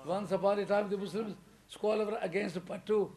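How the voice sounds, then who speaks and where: High-pitched Pakistani man preaching emphatically. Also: fairly even intonation, fairly quick. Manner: emphatic, rising to loud stresses, chuckles between points. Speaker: religious preacher. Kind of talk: English sermon to a congregation.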